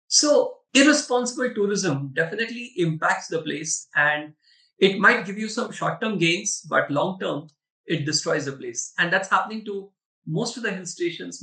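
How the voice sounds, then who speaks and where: A man with an Indian accent and a bright, fairly high voice answers questions on a webinar. He speaks emphatically and conversationally, like a storyteller. The recording is roomy and echoing. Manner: emphatic, storytelling with rhetorical check-ins. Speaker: webinar panelist. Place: online video webinar. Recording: roomy echo, distant from the mic.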